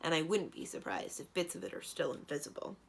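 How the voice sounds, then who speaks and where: Woman acting young storybook heroine, practical, wry, decisive, matter-of-fact, slightly creaky. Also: sits low, big pitch swings, fast, heavily acted, poor audio.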